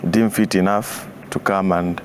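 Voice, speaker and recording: Deep-voiced Kenyan man, hesitant. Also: steady tone, measured pace, slight background noise. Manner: hesitant, many uh fillers, soft laughs. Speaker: guest. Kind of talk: conversational interview.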